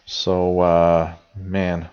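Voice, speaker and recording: A man's voice: sits low, monotone, extremely slow, darkish tone, clear, resonant tone. Canadian guy in his 40s hosting a live radio chat, rambly, lots of ums, kinda muffled lo-fi sound. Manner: chatty, rambling, many fillers and restarts. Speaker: radio show host reading chat.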